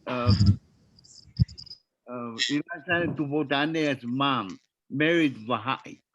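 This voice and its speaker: An older man speaks very slowly over a video call, pausing often and filling gaps with "uh" as he hunts for names. His voice sits at an ordinary pitch. The sound is close and dry, with no room around it. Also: a bit bright, dry recording, highs cut off. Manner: halting, many pauses and filler sounds, searching for names. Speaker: family elder sharing memories. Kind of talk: casual family video call.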